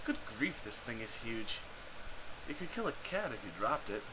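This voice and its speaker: Man narrating wryly over clear background noise. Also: medium pitch, sing-song, normal speed. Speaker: narrator reading a webcomic aloud. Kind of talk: scripted narration. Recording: noisy amateur home recording.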